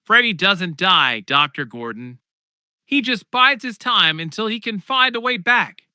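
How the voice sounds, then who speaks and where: A man voicing a battle-scarred woman survivor in an audiobook, giving ominous, deliberate warnings. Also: sits high, animated, bright, forceful tone, clean tone, no room sound, studio quality, pitched above the performer's own voice, exaggerated voice. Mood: grave warning. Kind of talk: dramatic audiobook character voice.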